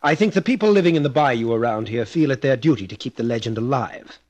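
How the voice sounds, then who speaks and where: An actor playing a skeptical, smug amateur family historian, dry and articulate, in a 1940s style radio drama. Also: male voice, medium pitch, lively intonation, fast, a little mellow, close to an everyday voice. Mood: dryly dismissive.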